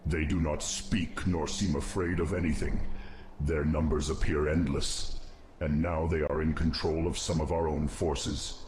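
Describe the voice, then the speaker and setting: A man voicing a grave, loyal undead nerubian commander with a formal, deliberate delivery in a fantasy game cutscene. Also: rumbling low, flat delivery, darkish tone, slight background noise. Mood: troubled.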